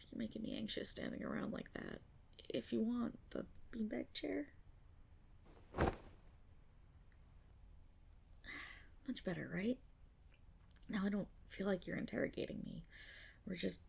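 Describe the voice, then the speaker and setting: Woman voicing a timid, creaky-voiced half-dragon girl. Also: medium pitch, big pitch swings, measured pace, long pauses, darkish tone, slight background noise, heavily acted. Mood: sheepish. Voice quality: breathy, often slipping toward a whisper. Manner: hesitant, nervous, stammering and rambling. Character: timid young female half-dragon rogue, anxious and proud. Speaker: roleplay voice performer. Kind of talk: intimate ASMR roleplay, character acting.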